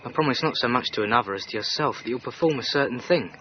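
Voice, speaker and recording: An actor playing a loyal, steadfast manservant, deferential and earnest, in a radio drama. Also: male voice, mid-range voice, expressive, fast, lightly acted. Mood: earnest, reassuring. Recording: dull sound with clear background noise.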